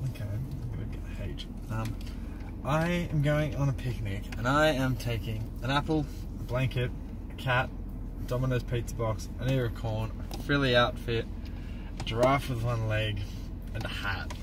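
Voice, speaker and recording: A man's voice: mid-range voice, normal speed, background noise. A man bantering playfully with a friend during a car word game. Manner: playful, joking. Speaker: travel companion. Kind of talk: casual banter.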